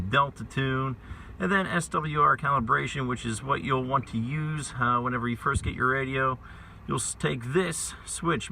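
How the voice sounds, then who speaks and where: A man's voice: mid-range voice, creaky, bright, forceful tone. A man talking informally and in a rambling way, vlogging casually from inside a truck. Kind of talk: casual vlog talk. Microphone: handheld phone away from mouth. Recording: distant mic, noisy vehicle interior. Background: vehicle and ambient noise.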